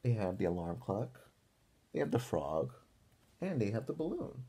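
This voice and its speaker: A man reads a children's picture book aloud in a teacherly way. His voice is soft-edged and mellow with a slightly husky grain, and it moves widely in pitch. He goes deliberately and pauses to put questions to young listeners. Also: big pitch swings.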